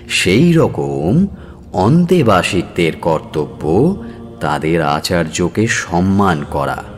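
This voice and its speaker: Man playing a grave, deep-voiced monastery teacher. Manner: grave, deliberate, formal. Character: stern, calm male monastery teacher.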